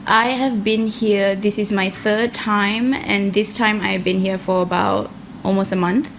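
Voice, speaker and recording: A woman's voice: mid-range voice, level tone, a little slow, vocal fry, slight background noise. A young woman from Malaysia, a guest on a casual podcast interview, talking in a friendly, chatty way with plenty of fillers. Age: young adult.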